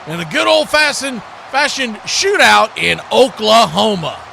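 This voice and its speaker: A high-pitched male sports announcer calls a football game live, play by play. His voice comes over a clearly noisy stream. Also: lively intonation, a little slow, bright, forceful tone.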